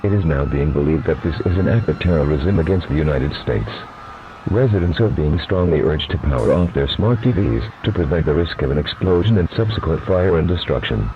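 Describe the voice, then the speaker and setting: Male emergency announcer, extremely low, formal synthetic read, dull noisy broadcast sound. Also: expressive, brisk. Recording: muffled lo-fi broadcast with clear noise.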